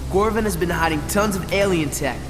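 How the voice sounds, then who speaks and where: A man's voice: sits high, a little fast, long reverb tail, noisy background, lightly acted. An actor playing a cocky, sarcastic teenage tough guy in a video game cutscene. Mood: worried. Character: cocky tough teen boy, sarcastic loner. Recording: loud game music behind.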